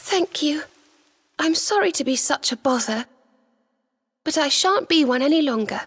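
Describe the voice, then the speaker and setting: An actress playing a gentle, polite, grieving young woman mage in a fantasy game, earnest and British-accented. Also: sits high, animated, moderate pace. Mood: resolute, tender. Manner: soft-spoken, polite, earnest. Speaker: video game character actor. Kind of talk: dramatic cutscene acting.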